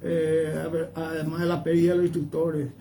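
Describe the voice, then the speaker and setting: Male history pundit, Paraguayan accent, high creaky voice, rambling conversational delivery, distant noisy mic. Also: completely flat delivery, brisk, warm tone. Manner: digressive, often seeks agreement with tag questions. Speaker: history commentator, interview guest.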